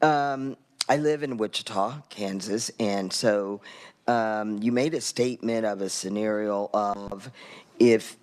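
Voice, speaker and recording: A state senator at a legislative hearing works his way toward a question, pausing often and wandering as he goes. His voice sits on the low side, and the delivery stays conversational and unhurried. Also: darkish tone. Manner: meandering, hesitant with fillers. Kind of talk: committee questioning. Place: state committee hearing room.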